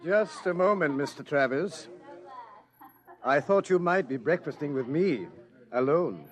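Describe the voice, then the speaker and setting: A man's voice: on the high side, expressive, a little slow. A man playing a suave, mocking, patiently menacing pursuer in a 1950s radio drama. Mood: coolly insistent. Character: smug, patient male pursuer from the future, menacing. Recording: old-time radio recording.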